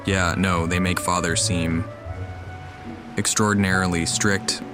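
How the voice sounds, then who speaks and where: A man's voice: sits low, level tone, unhurried, over music. A man giving casual gameplay commentary, musing aloud as he plays. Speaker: game streamer.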